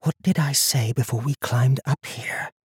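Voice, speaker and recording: A man voicing a composed, wary female traveler in an audiobook, hushed, firm and controlled. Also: fairly high pitch, sing-song, brisk, husky, dry recording, studio quality, voice pushed up, heavily acted. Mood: hushed stern. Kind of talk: audiobook character acting.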